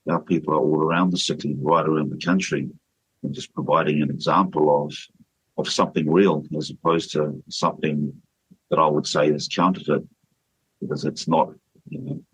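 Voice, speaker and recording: A man's voice: a bass voice, fairly even intonation, normal speed, slightly rough, no room sound, poor audio. A man leading a church, speaking earnestly and steadily in a radio interview, with fillers and restarts. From New Zealand. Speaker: church leader.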